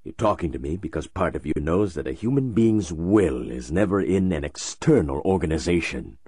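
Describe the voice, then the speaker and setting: A calm, enigmatic tycoon, played by a man with a very deep, husky voice that swings widely in pitch. He delivers slow, deliberate pronouncements in a storybook reading. The sound is close and dry, with no room around it. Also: no room sound. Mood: persuasive. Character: calm, enigmatic wealthy middle-aged man. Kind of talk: audiobook character voice.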